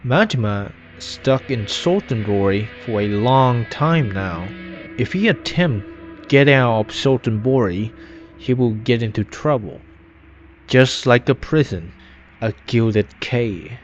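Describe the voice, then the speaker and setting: A man's voice: mid-range voice, expressive, slow pace. A man narrating an informal scripted story retrospective in loose, non-standard grammar, recorded on an inexpensive microphone. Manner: non-standard grammar with dropped verb endings. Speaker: video narrator. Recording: dull lo-fi cheap mic, music behind. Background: music.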